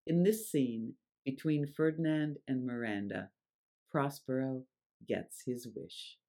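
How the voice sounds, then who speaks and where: A narrator sets up a scene from a script. The voice is mid-pitched and very slow, delivering the summary phrase by phrase like a storyteller. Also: creaky, dark tone.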